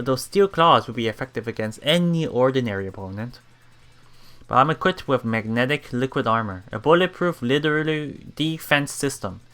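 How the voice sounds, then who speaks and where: A man's voice: medium pitch, fairly bright tone, clear, resonant tone. A man reading visual novel text aloud for a let's play, stumbling over words and adding his own reactions. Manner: halting, stumbles over words and repeats them. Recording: music behind the voice. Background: game music.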